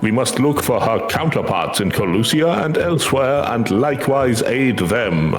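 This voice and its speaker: A man's voice: medium pitch, lively intonation, normal speed, close to an everyday voice. A man voicing a courteous, earnest magical familiar in a video game, speaking formally and eloquently. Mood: courteous, composed. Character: courteous, earnest male magical familiar who hunts nightmares. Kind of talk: video game quest dialogue read aloud. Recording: echoey voice over game music.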